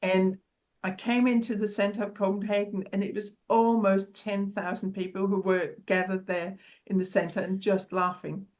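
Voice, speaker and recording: A woman's voice: medium pitch, flat delivery, moderate pace, a little mellow, lo-fi sound. Cheerful Danish woman, often laughing. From Denmark. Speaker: podcast guest. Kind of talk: casual interview chat.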